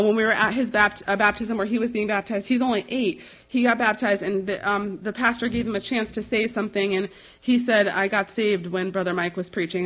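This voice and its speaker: A young American woman speaking as a missionary, giving an informal slide presentation in a conversational, earnest and halting way. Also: mid-range voice, a bit bright. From United States. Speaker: missionary giving a ministry report. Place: live talk before an audience. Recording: dull, slightly lo-fi recording.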